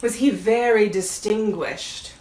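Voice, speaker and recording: A woman's voice: mid-range voice, slow, a little mellow, lightly acted. A pompous old storybook water rat, read by a woman, declaims haughtily and thinks only of himself. The sound is roomy, with faint noise. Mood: haughty. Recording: roomy echo, audible background noise.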